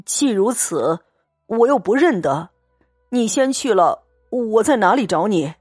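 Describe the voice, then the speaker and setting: A woman voicing a drunk, boisterous young nobleman who fawns loudly and slurs. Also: mid-range voice, expressive, a little slow, breathy edge, dry recording, clean studio sound, soft background music. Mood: drunk, fawning. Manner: loud, fawning and slurring.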